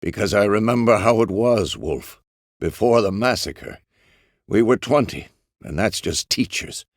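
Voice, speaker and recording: An actor playing a gruff, stern but fatherly old monster hunter in a video game, with a gravelly voice and a terse, weary, commanding manner. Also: fairly low pitch, expressive, measured pace, no echo, studio quality. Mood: wistful, weary. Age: older. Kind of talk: dramatic game character dialogue.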